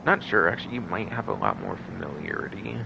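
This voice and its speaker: A man's voice: sits low, lively intonation, fast, creaky. A man giving casual, rambling livestream commentary on a game, often repeating himself, in a noisy recording. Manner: rambling, repeats stock phrases over and over. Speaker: livestream host.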